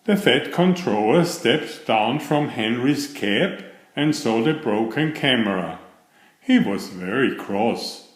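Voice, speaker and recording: A man reading a children's storybook aloud, telling it in an expressive and deliberate way. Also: mid-range voice. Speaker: children's book reader. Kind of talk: storybook read-aloud narration. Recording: roomy, echoing voice recording.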